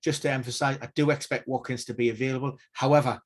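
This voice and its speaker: Male pundit, mid-pitched, measured and hedging, casual stream analysis. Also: steady tone. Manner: measured, hedging, many fillers and restarts. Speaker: injury news expert.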